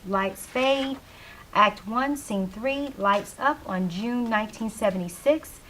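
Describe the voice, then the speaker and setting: A woman narrates a play reading with scripted stage directions. Her voice sits at a medium pitch. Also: sharp tone, a little hiss or hum, low-quality recording.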